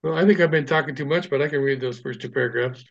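A man's voice: medium pitch, fast, gravelly. Man reading aloud over dull audio. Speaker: group participant reading aloud.

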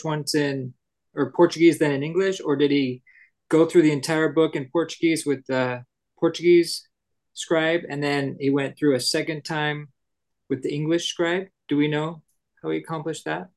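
Man asking the group a careful question, slightly higher voice. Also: steady tone, normal speed. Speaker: group participant.

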